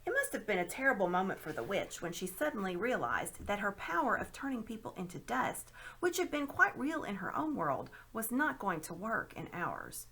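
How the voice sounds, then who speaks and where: A woman reading a children's novel aloud in a dramatic, expressive narrating style. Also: medium pitch, normal speed, few pauses, breathy edge, poor audio. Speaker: storybook reader.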